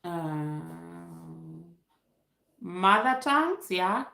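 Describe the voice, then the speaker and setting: A woman's voice: on the low side, expressive, very slow, creaky, pushed and bright, roomy sound. A young woman from Kenya with a Kenyan accent and Luo as her first language, hosting a casual live question-and-answer stream, reading viewer comments aloud and laughing often. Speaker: YouTube livestream host.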